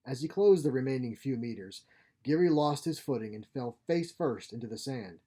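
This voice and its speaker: A man's voice: mid-range voice, lively intonation, moderate pace, dark tone, breathy edge. A man giving a scripted narration of a science fiction short story. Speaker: author reading own short story.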